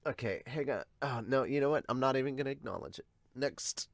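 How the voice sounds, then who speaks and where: A man hosting a casual manga reaction, talking enthusiastically in a halting, digressive way. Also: medium pitch, vocal fry, no echo, clean studio sound. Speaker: podcast host.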